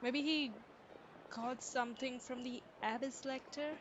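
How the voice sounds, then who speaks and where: A woman's voice: high-pitched, fairly even intonation, measured pace, highs cut off, slight background noise. A woman livestreaming a game, reacting casually to the dialogue. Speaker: game streamer. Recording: quiet voice under loud game music.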